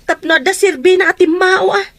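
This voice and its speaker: Super high whiny sickly daughter, demanding, radio drama, dry close sound. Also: female voice, fairly quick, fairly bright tone, dry recording. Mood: whiny, aggrieved. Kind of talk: Ilocano radio drama acting.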